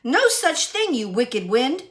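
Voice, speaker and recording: A woman's voice: high voice, sing-song, slow pace, voice pushed up, exaggerated voice. A woman voicing a brave, stubborn little girl in a storybook, insistent and emphatic. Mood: defiant.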